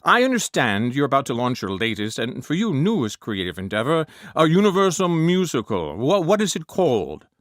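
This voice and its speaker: A man playing a formal, hesitant interviewer in a comedy sketch, often clearing his throat. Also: medium pitch, huge pitch swings, moderate pace, few pauses, fairly bright tone, dry recording, clean studio sound. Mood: politely awkward. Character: polite, awkward male celebrity interviewer.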